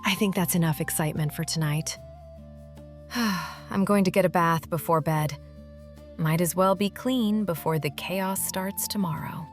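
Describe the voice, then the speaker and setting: A woman's voice: on the low side, unhurried, lightly acted. A woman voicing a cheerful, easygoing young tailed beast-woman adventurer in a fantasy audio drama over music. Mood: relaxed, cheerful.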